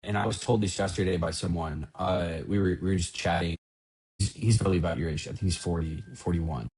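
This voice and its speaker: Husky, lowish man in his thirties, podcast host, animated, playful, full of questions. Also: a little fast, low-quality recording. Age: 30s. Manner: animated, joking, asks lots of questions. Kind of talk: casual podcast chat.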